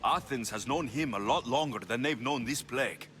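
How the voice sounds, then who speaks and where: An actor playing an earnest, determined young warrior in a dramatic video game cutscene. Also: male voice, medium pitch, moderate pace, a bit bright, natural voice. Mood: concerned, steady. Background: prominent music.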